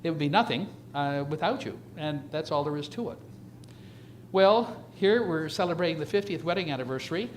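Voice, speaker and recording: A man in his seventies giving an informal, reminiscing slide lecture in a halting, conversational way, speaking directly to his audience. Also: a little high, expressive, a little hiss or hum, poor audio. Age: 70s. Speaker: retiring surgeon giving an emeritus lecture.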